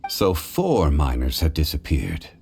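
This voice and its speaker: A man playing a calm, learned gentleman investigator in a video game, speaking formally and reasoning things out in a measured, analytical way. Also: extremely low pitch, gravelly, faint music behind, exaggerated voice.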